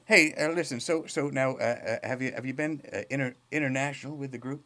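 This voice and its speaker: A man's voice: mid-range voice, fairly even intonation, quick pace, pushed and bright. A man hosting a chatty, comic podcast interview in a folksy, rambling way, often repeating words as he feels for the next one. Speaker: podcast host.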